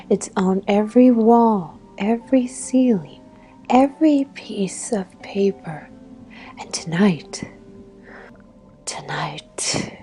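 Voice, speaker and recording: A woman plays a ruthless unicorn mare in a dramatic audio story. Her mellow, dark voice moves slowly and threateningly, hissing on key words with obsessive menace, over background music. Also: medium pitch, close to an everyday voice. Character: ruthless, menacing female unicorn pony villain, fiercely obsessive. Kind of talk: dramatic fan fiction audio acting.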